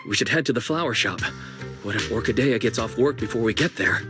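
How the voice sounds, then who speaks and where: A man's voice: mid-range voice, fairly quick, close to an everyday voice. A man playing a thoughtful, easygoing, evasive young detective in a video game, musing and hesitant. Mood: awkward, evasive. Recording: clear music behind.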